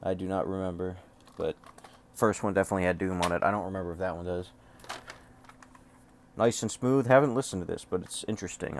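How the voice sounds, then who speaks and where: A man's voice: sits low, slight background noise. A man talking casually and offhandedly as a collector showing off his things, rambling and swearing freely. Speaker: music collector showing off cassette tapes.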